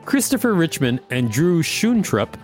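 A man's voice: a little high, big pitch swings, a little slow, soft background music. A man co-hosting a podcast, reading an article aloud and adding casual commentary. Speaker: podcast co-host.

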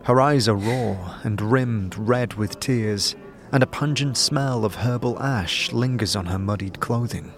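Gravelly male fantasy narrator. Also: lowish voice, unhurried. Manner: descriptive, storyteller-like. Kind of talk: scripted second-person fantasy narration. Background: music.

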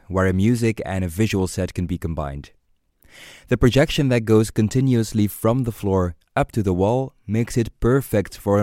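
A man's voice: on the low side, warm tone, no room sound, clean studio sound. A man narrating a scripted podcast as its host.

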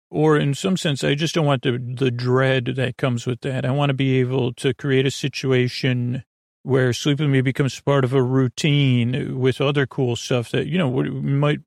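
A man hosting a bedtime podcast in a casual, meandering monologue, halting, trailing off and circling back, with a gravelly voice. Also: mid-range voice, no echo, studio quality. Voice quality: creaky, gravelly. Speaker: podcast host.

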